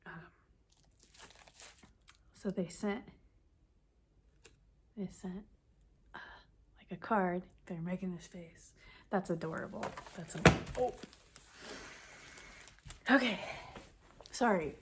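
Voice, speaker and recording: A woman's voice: fairly low pitch, slow pace, long pauses, noisy background. A woman vlogging casually about knitting, sniffly from the cold, chatting in a rambling way that corrects itself as she goes. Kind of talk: casual chat to camera.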